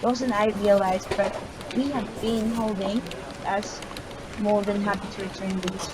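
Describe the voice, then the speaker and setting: A woman gamer reading visual novel narration aloud, halting and stumbling over words. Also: mid-range voice, steady tone, background noise.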